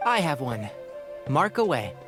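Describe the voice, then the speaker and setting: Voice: medium pitch, big pitch swings, measured pace, music in the background. An actor playing an easygoing young traveler in a video game, casual, confident and courteous. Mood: casual, decisive.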